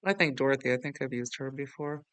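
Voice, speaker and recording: A man hosting a software tutorial in a casual, rambling, self-deprecating way. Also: mid-range voice, quick pace, smooth, no room sound. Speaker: tutorial host.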